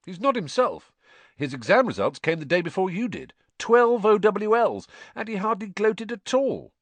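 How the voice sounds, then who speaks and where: A man's voice: high voice, very animated, normal speed, dry recording, pitched above the performer's own voice, exaggerated voice. Man playing a teasing teen wizard twin, raised voice, mocking, dry. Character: cheeky teenage wizard twin brother.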